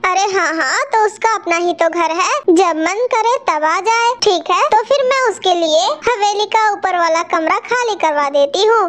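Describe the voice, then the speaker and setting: A woman's voice: very high voice, moderate pace, hardly any pauses, a bit bright. A woman voicing a chatty, grumbling married female pigeon in a cartoon story. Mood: accepting. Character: complaining married female pigeon housewife with big house. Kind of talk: Hindi cartoon story dubbing.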